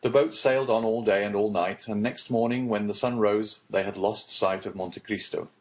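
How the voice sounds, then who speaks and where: A man reading literary narration as an audiobook narrator, in a formal and measured storytelling manner. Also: fairly low pitch, steady tone, darkish tone, low-quality recording.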